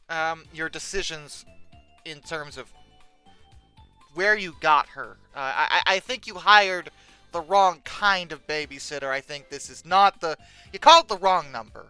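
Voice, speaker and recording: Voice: medium pitch, animated, normal speed, bright, forceful tone, smooth, soft background music. A video host giving casual, humorous commentary in a sarcastic, exasperated manner with dramatic emphasis.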